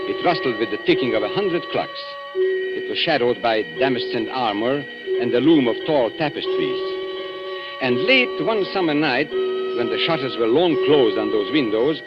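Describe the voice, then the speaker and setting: An actor playing an urbane, cynical antique dealer in a 1940s radio drama, polished and theatrical in manner. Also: male voice, mid-range voice, close to an everyday voice. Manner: polished, theatrical, courteous and sardonic. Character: urbane, cynical male London antique dealer, worldly storyteller. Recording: muffled vintage 1940s radio broadcast, music.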